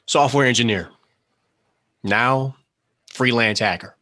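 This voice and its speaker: An actor playing an earnest, brainy hacker, nervous but determined, speaking haltingly in a screenplay table read. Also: male voice, medium pitch, sharp tone. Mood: hesitant, explaining.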